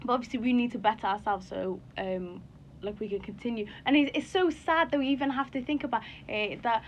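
A woman's voice: fairly high pitch, brisk, highs cut off. A young woman, chatting casually and animatedly with friends in a conversational, searching way. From Somali family in Cardiff, UK. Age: young adult. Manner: animated, chatty, uses "you know" and "what do you call it" often. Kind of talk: casual group chat among friends. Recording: clear background noise and room ambience.

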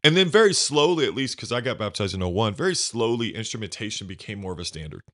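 A man hosting a conversation with a deep bass voice, effusive and emotional as he talks. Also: lively intonation, fast talker, a bit bright. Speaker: podcast host. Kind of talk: casual conversation.

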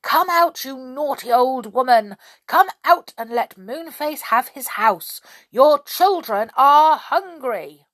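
A woman's voice: sits low, very animated, normal speed, hardly any pauses, no echo, full character voice. A woman playing a loyal, earnest old saucepan man in a children's storybook. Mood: shouting. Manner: earnest, shouting when roused.

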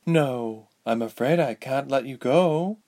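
A man's voice: mid-range voice, takes it slowly, warm tone, voice pushed up, lightly acted. A man voicing a cold, bitter, vengeful sorceress, the daughter of a villain, speaking coolly and indifferently. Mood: menacing. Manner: cool and indifferent, turning bitter.

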